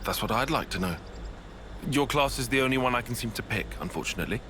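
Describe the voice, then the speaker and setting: A male actor as a pragmatic young soldier in a game. He speaks in a medium male pitch, serious and dry. Faint ambience sits behind the voice. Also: expressive, fast, noisy background, close to an everyday voice. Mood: dry displeasure. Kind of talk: video game cutscene acting.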